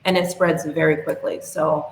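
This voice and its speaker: Young woman guest, low and earnest, slightly echoey remote call. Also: little pitch movement, moderate pace. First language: English. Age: young adult. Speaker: podcast guest. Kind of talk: interview answers. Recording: echoey room, faint hiss.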